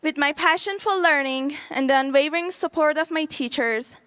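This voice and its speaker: Bright high-voiced young woman, earnest speech. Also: moderate pace, no room sound. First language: Farsi. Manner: earnest, reading a prepared speech. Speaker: graduating student speaker. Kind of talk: prepared graduation speech.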